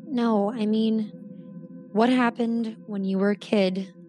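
A woman's voice: a little high, fairly even intonation, slow pace, over music. A woman playing a skeptical, probing friend in an audio drama, dry and blunt in a natural conversational style. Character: skeptical, probing woman questioning a would-be ghost hunter.

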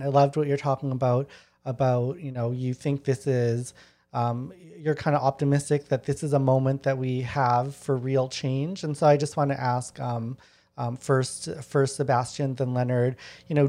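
Male moderator. Dark, relaxed timbre, measured and slightly halting, informal. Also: medium pitch. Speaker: discussion host. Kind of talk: casual moderated discussion.

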